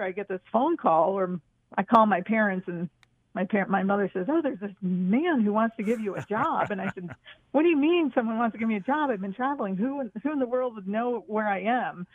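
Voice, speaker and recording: A woman's voice: mid-range voice, no room sound. A woman in her sixties from northern New York, telling personal stories casually as an interview guest, heard over a phone line. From northern New York, USA. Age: 60s. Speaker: guest, author and former park ranger. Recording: tinny, narrow phone line.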